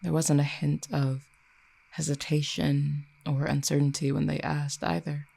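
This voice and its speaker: Audio drama role: ancient fallen cupid, acted by one performer, mellow and creaky mid-pitched voice, formal, wry, quietly menacing. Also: little pitch movement. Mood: fond, reflective. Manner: formal, eloquent, measured archaic phrasing. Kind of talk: roleplay audio drama, addressing the listener.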